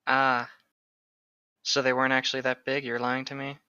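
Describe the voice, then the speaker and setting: A man's voice: mid-range voice, completely flat delivery, moderate pace, pushed and bright, clean tone, dry recording. A man co-hosting a podcast with joking, teasing, slangy banter on a dull lo-fi microphone. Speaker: podcast co-host. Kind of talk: casual banter.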